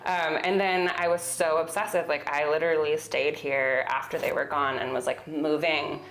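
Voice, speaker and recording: A woman's voice: deep voice, fairly even intonation, normal speed, fairly bright tone, a little hiss or hum. An American woman from California chatting casually as she tells stories in an interview, with informal wording. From Sonoma County, California, US. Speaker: interior designer being interviewed on a home tour. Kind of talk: casual conversational storytelling. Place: indoors in a home. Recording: roomy indoor echo.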